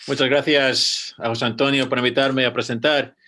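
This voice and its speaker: Spanish-born man giving a measured panel talk. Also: mid-range voice, level tone, pushed and bright. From Spain. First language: Spanish, with rusty fluency. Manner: measured, read-out delivery with occasional restarts. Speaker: school director and panelist. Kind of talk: prepared panel presentation.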